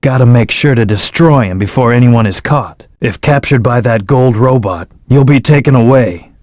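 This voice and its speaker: An actor voicing an aloof male hedgehog hero in a video game, giving calm, terse commands and hints. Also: mid-range voice, normal speed, no echo, studio quality, natural voice. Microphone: studio microphone.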